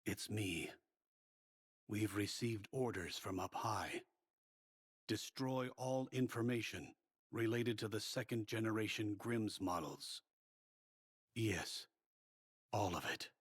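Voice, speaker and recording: Game cutscene commander: grave, authoritative, weary, low-pitched, measured and slow. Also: male voice, husky. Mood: grim, commanding. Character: grave, weary male commander giving ruthless orders. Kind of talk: dramatic game cutscene voice acting.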